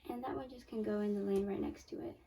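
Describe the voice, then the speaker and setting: A 12-year-old girl with a squeaky voice hosts a casual hands-on science demo, chatting and correcting herself as she walks through each step in a small home lab. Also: steady tone, fast, warm tone. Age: 12 years old. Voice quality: very high squeaky young voice. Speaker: young science channel host. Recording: voice from a distance off the mic.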